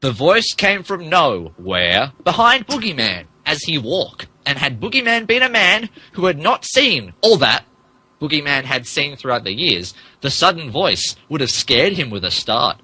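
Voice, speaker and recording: Animated, bright-voiced man narrating dramatically. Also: a little high, unhurried, breathy edge, no echo. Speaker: podcast narrator. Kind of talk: dramatic comic narration of fan fiction.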